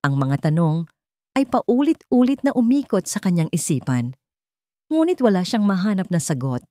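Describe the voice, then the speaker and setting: A woman with a Filipino accent reads a scripted dramatic story in measured, short phrases. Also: lowish voice, sing-song, dark tone, dry recording, studio quality.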